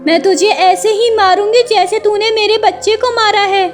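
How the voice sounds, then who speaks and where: A woman's voice: very high-pitched, fairly even intonation, moderate pace, pitched above the performer's own voice. A woman voicing a fierce, protective mother bird in a cartoon, urgent and scolding. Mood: grieving, furious.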